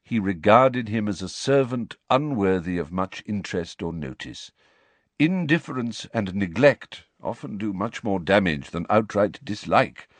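A man's voice: on the low side, expressive, no echo. Man acting a weary, grave old wizard. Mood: quiet, firm. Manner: quiet, measured, grave. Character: calm, weary, wise old male wizard headmaster. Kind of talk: dramatic audiobook character reading.

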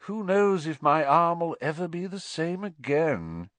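A man's voice: medium pitch, very animated, a little slow, a bit bright, no echo, pitched above the performer's own voice. A man reading a storybook as a spoiled, smirking teenage boy who drawls with whiny false pity. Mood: smug, mock sorrow. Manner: drawling, mock-sorrowful.

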